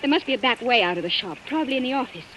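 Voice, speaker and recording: A woman acting in an old-time radio suspense drama as a frightened but quick-thinking accomplice, urgent and melodramatic. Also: on the high side, animated, a bit bright. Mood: urgent, scheming. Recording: vintage radio, faint noise and rain.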